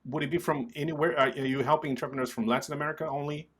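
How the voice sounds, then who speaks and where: A man's voice: a little high, steady tone, very fast, fairly bright tone, slightly rough. A man hosting a podcast, introducing the show and putting questions to a guest. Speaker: podcast host. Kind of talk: scripted intro and interview questions.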